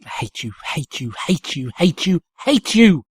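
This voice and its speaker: A woman's voice: fairly low pitch, sing-song, a little slow, husky, no room sound, exaggerated voice. A woman voicing a hot-tempered, indignant young female pony in an acted fan fiction reading. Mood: furious. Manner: indignant, exasperated, emphatic.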